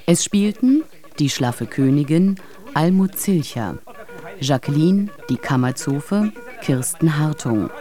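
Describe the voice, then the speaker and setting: An announcer reading scripted radio drama credits in a measured, formal way. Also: medium pitch, animated, warm tone, soft background music.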